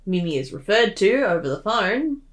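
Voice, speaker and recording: A woman's voice: medium pitch, very animated, moderate pace, roomy sound. A woman who voice acts, co-hosting a commentary in a casual, chatty style. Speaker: voice actor and commentary co-host.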